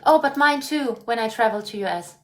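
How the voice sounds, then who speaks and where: A woman co-hosting a livestream, chatting casually in brief, soft interjections. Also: on the high side, animated, brisk. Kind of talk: casual chat.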